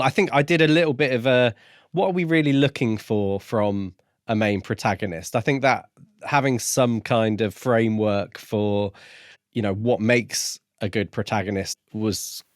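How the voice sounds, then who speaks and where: A man co-hosting a podcast, discussing books casually in a measured, orderly way. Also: on the low side, dry recording, studio quality. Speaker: podcast co-host.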